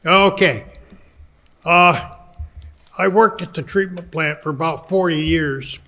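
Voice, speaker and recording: An older man speaks up at a town hearing in a loud, plain-spoken voice. He talks slowly, and his pitch sits on the high side. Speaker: retired treatment plant worker. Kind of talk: public comment at a hearing. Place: town meeting hall.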